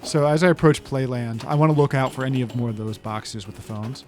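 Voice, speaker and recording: A man's voice: medium pitch, a little fast, a little mellow, faint music behind. A man playing a tabletop game, chatting casually in a musing, questioning way.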